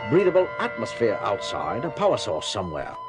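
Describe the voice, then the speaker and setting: An actor playing a moon base officer, reporting findings in clipped phrases in an audio drama. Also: male voice, lowish voice, huge pitch swings, fairly quick, loud music behind the voice. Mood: serious.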